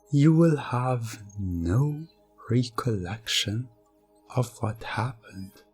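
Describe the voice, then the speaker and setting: Very slow, low, husky male hypnotist. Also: darkish tone. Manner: slow, deliberate, commanding second-person delivery. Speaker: hypnosis ASMR role-play performer. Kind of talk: scripted hypnosis ASMR narration.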